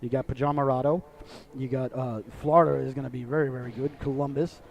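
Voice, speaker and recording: A man's voice: medium pitch, fairly even intonation, fast talker, gravelly, highs cut off. A middle-aged man calling an amateur hockey broadcast in a chatty, rambling way, joking with viewers and a bit sniffly. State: sniffly from a cold. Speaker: play-by-play announcer. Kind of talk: casual hockey broadcast commentary.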